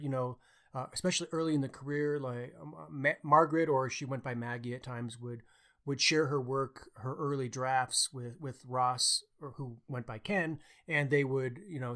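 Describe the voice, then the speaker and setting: A man speaks at a middling pitch as one host of a podcast. His delivery is conversational, the talk of someone discussing books with a co-host. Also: fairly bright tone.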